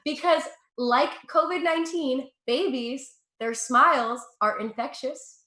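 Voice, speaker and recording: A woman's voice: high-pitched, measured pace, pushed and bright, echoey room. A young woman from Russia with an American accent doing a chatty, profane stand-up set, laughing at her own jokes. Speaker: stand-up comedian.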